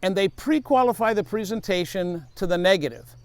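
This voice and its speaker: Emphatic high-voiced man giving speaking advice. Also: a little fast, smooth, no echo. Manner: emphatic, energetic, repeats his key rule. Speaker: safety speaker. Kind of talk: motivational speaking tip to camera.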